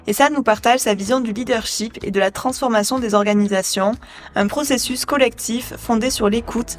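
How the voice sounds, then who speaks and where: A woman hosting a podcast, reading a scripted introduction and then asking her guest gentle questions. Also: on the high side. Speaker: podcast host, naturopath.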